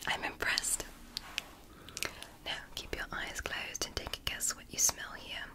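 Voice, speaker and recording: A woman whispering as a gentle alien woman doctor in an ASMR roleplay, softly praising and reassuring her patient. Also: lightly acted. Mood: soothing. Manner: soft, slow, praising and reassuring.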